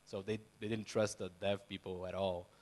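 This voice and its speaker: A man's voice: low-pitched, little pitch movement, normal speed, darkish tone. A man, speaking hesitantly as a panelist on a conference stage. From Brazil. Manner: hesitant, many fillers and restarts. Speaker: panelist, software company CEO. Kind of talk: conference panel self-introduction.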